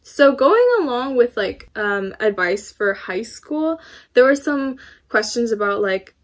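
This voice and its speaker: This is a young woman of eighteen chatting to the camera in her bedroom. Her voice sits a little high, and she rambles, dropping in many fillers and small laughs as she answers viewer questions. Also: expressive, unhurried. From United States. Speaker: YouTuber. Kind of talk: casual Q&A vlog to camera. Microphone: camera in front of her, laptop beside it.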